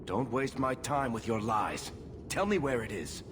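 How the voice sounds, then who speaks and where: A man's voice: medium pitch, lively intonation, unhurried, noisy background, lightly acted. A man voicing a wry, loyal dwarf rogue and storyteller in a video game cutscene, with a dry, sardonic manner. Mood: demanding, suspicious.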